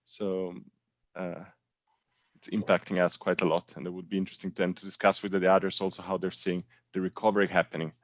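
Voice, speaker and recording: Low-voiced man, dry sound. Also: little pitch movement, dry recording. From Italian-speaking Switzerland. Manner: earnest, halting with many fillers. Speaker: panel guest, brand co-founder. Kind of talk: online panel introduction.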